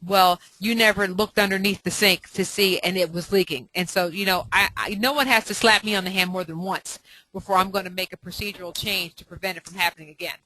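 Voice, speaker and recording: Bright-voiced woman hosting, dry sound. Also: medium pitch, steady tone, a little fast, dry recording, poor audio. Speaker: host, inspection mentor. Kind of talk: hosting a professional web conference Q&A. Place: web conference call.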